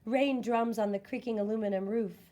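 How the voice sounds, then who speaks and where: Female storybook reader, slightly high voice, expressive narration, deliberate dramatic phrasing. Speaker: children's book reader.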